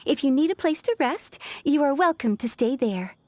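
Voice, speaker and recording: A woman's voice: high-pitched, expressive, moderate pace, a little mellow. A woman playing a precise, polite young secretary in a video game, formal and businesslike. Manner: formal, businesslike, crisp wording. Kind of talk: animated game voice acting.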